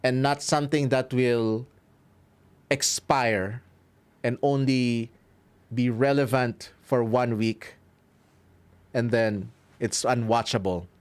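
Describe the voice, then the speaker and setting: Man, Filipino accent, thirties, streamer, casual sign-off, upbeat, mid pitch. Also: steady tone, takes it slowly, long pauses, clear, resonant tone. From Philippines. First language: Filipino language. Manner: chatty, upbeat, chuckles often. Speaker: streamer and violinist. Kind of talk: casual livestream sign-off to chat.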